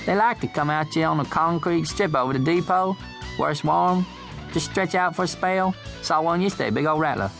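A man's voice: a little high, a little fast, creaky, a bit bright, soft background music, voice pushed up. A man voicing a raw-boned young country boy, telling a tale in a folksy drawl. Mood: earnest.

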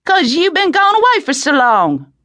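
A woman voicing a sharp-tongued backwoods mother in an audiobook, in blunt Southern rustic dialect. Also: very high-pitched, wildly swooping pitch, normal speed, no room sound, voice pushed up, full character voice. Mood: tart, complaining. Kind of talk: audiobook character reading.

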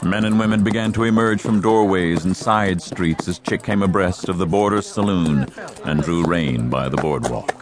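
A man narrating a dramatized western audiobook in a measured storytelling style. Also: sits low, noisy background.

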